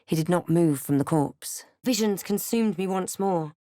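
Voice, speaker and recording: Woman acting a wry low-voiced fantasy adventurer. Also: measured pace, dark tone, dry recording, studio quality, natural voice. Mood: grave, reflective. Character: female fantasy adventurer, wry, observant, telling her own journey. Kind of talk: video game character voice lines and first-person narration.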